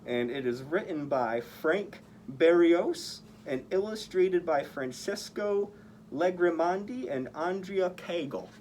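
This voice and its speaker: A man reads a children's story aloud in a highly animated way. His voice is on the higher side and moves widely in pitch, with some noise in the background. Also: takes it slowly. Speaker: costumed story-time reader in superhero persona.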